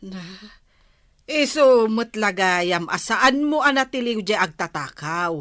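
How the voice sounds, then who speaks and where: A woman's voice: mid-range voice, lively intonation, slow pace, a bit bright, no room sound. A woman with a Filipino accent playing a skeptical, probing village wife in a radio drama, with a dry, questioning delivery.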